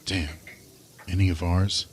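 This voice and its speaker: A serious, reassuring leader in an audio drama. His voice sits low, with faint ambient noise behind it. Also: little pitch movement, slow.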